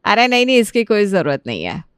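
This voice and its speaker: A woman's voice: mid-range voice, huge pitch swings, fast talker, clear, resonant tone, no echo, studio quality. A woman voicing a wry, helpful housewife and mother-in-law in a cartoon story, speaking expressively. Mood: warm, obliging. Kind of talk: Hindi cartoon story character voice.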